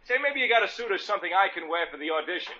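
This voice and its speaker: A man's voice: sits high, lively intonation, racing, bright, forceful tone, a little hiss or hum. An actor playing a broke, sarcastic, grumpy man in a 1940s radio comedy style. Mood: sarcastic, needy. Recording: dull old radio recording.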